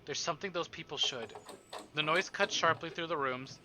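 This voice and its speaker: A man's voice: on the high side, moderate pace. A man livestreaming a visual novel, reading it aloud dramatically and adding casual joking asides.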